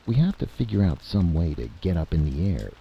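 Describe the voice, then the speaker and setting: A man's voice: low voice, sing-song, fairly quick, vocal fry, breathy edge, lo-fi sound, heavily acted. A man voicing an earnest, resourceful male inventor in a time travel story, practical and plainspoken.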